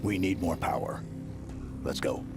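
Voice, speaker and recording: An actor playing a grim, stoic super-soldier in a video game, speaking in terse, clipped statements with a gravelly voice. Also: male voice, sits low, a little slow, over music. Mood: grim resolve. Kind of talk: dramatic video game dialogue.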